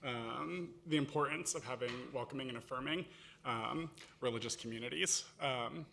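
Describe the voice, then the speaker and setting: A man's voice: mid-range voice, moderate pace, creaky, sharp tone, a little hiss or hum. A man from Morocco speaking as a panelist, casually telling his life story with frequent fillers.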